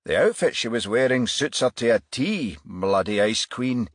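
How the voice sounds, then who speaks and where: A blunt, derisive policeman, put on by a male audiobook narrator. He talks colloquially with a lively rise and fall, close and dry on the mic. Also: mid-range voice, moderate pace, no room sound, pitched above the performer's own voice, lightly acted.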